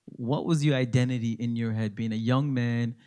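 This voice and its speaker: A man hosting an interview show, born in India and raised in Scarborough, prompting his guest with energetic, probing questions. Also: mid-range voice, normal speed, clean tone. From Scarborough, Canada. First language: Tamil speaker, born in India. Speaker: interview host. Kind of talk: conversational interview.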